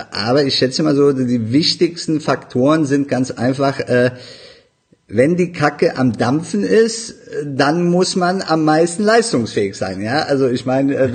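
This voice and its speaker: Husky man in his forties, relaxed. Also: medium pitch. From Iran. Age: 40s. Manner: relaxed, many fillers, laughs easily. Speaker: café owner, guest. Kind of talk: casual interview talk.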